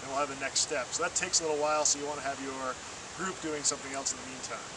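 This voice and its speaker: A man's voice: medium pitch, fairly even intonation, fast. A man giving a patient, step-by-step, encouraging hands-on demonstration as a field trainer. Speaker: water-quality field trainer. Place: outdoors beside flowing water. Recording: distant mic, loud outdoor stream noise.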